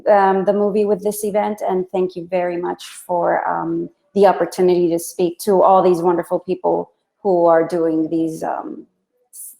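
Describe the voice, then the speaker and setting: A woman from Puerto Rico speaking as a guest on a live online panel, reflective and halting as she gives heartfelt remarks. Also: lowish voice, gravelly, a little mellow, roomy sound, rough recording. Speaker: film visual effects artist, panel guest.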